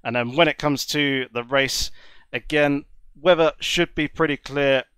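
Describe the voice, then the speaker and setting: A man with a slight cold talks through a sim racing session in a measured, courteous commentary style. His voice stays smooth and clear despite the congestion. The sound is close and dry, with no room around it. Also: fairly high pitch, steady tone, fairly bright tone, no room sound. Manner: measured, wordy, polite. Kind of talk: live esports race commentary.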